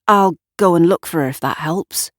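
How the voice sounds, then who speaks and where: A woman's voice: lowish voice, normal speed, no room sound, natural voice. A woman puts on a younger man in an audio story, boyish and keen. He coaxes earnestly with a light, friendly touch, in a dry, close recording. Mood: helpful. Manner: earnest, coaxing.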